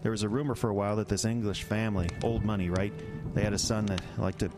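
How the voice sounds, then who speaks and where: A man's voice: fairly low pitch, level tone, quick pace, over music, lightly acted. An actor playing a defensive, evasive middle-aged man in an audio drama, stammering and dismissive. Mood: uneasy storytelling.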